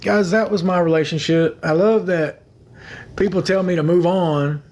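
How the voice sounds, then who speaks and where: A man's voice: high voice, steady tone, moderate pace, darkish tone. A young man chatting on a phone livestream, rambling, repeating himself and thanking viewers by name. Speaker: livestream host. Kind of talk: casual livestream chat with viewers.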